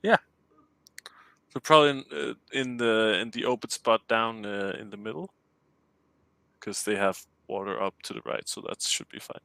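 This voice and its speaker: A man's voice: fairly low pitch, steady tone, moderate pace, gravelly, long pauses, sharp tone, no room sound, rough recording. A man with a Danish accent chatting over a call, hesitant and giggly, often restarting his sentences. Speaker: client commissioning a map. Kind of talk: casual chat.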